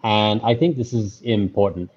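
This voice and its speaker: Earnest man with a low voice. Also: normal speed, darkish tone, husky, dull audio. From Australia. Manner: earnest, rambling, many restarts and fillers. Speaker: guest. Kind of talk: casual interview conversation.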